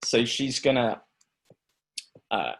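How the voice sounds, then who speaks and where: Man presenting a startup pitch, lively pitch, hesitant fillers, video call sound. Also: slow.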